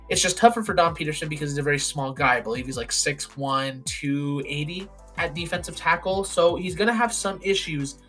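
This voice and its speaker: A young man giving casual, opinionated football draft commentary, his voice hoarse and raspy. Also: on the high side, pushed and bright. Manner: opinionated, plain-spoken with frequent ums.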